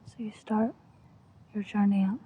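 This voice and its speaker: A Scottish woman speaks softly and breathily, often in a whisper, gently and soothingly guiding an ASMR visualisation with long pauses. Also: mid-range voice, steady tone, husky. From Scotland. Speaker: ASMR host. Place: outdoors, lying on grass. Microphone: close-up. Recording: muffled lo-fi outdoor recording, faint noise.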